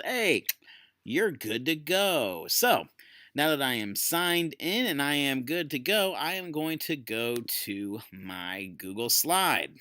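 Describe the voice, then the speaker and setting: A man giving an enthusiastic, emphatic software tutorial in an informal, folksy way, like an educator walking viewers through a demo. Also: medium pitch, no room sound, studio quality. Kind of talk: screencast tutorial presentation.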